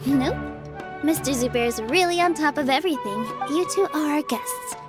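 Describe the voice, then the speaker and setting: A woman's voice: high voice, expressive, normal speed, over music. A woman playing a kind, cheerful young dancer in an anime game, speaking politely and earnestly. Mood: earnest, reassuring.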